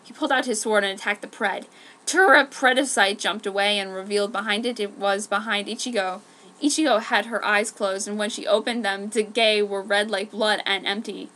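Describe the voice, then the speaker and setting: A woman's voice: mid-range voice, fairly even intonation, moderate pace, bright, forceful tone, poor audio. A woman giving a dramatic scripted reading as an amateur story narrator. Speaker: amateur fan fiction narrator.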